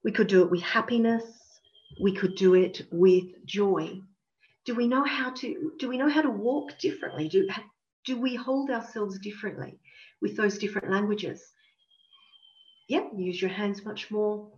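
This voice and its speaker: Woman host, slow thoughtful questions, echoey room, dull lo-fi sound. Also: medium pitch, a little mellow. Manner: reflective, slow, emphatic questions. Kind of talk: coaching talk. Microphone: video call.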